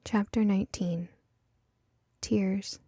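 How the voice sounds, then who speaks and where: A woman playing a curious, earnest young woman who narrates her own spooky radio drama in a measured, storytelling way. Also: medium pitch, steady tone, warm tone, husky, no echo, clean studio sound. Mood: measured, somber. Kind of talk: first-person scripted radio drama narration.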